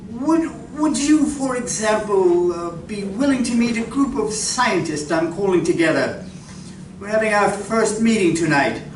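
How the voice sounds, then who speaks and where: Man playing a high-voiced, earnest professor. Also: lively intonation, measured pace. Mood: cautious, questioning. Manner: hesitant, careful, questioning. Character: earnest, inquisitive male scientist professor. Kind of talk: live radio drama acting. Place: library community room, live performance. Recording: echoey room from far off, noisy.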